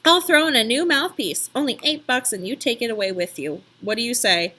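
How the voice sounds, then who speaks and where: A woman's voice: fairly high pitch, a little fast, pushed and bright. A woman voicing a seasoned, kindly pawn shop man from a storybook, with an emphatic, folksy, persuasive way of talking. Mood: enthusiastic selling. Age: middle-aged or older.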